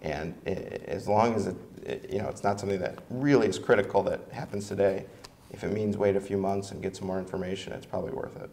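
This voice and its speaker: Gravelly, halting American man. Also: low-pitched, darkish tone. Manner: halting, tentative. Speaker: city council candidate. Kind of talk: informal answer at a candidates' forum.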